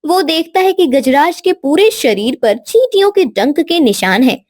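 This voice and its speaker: A woman's voice: high voice, animated, moderate pace, darkish tone, no echo, clean studio sound. A woman giving scripted narration for a children's moral story.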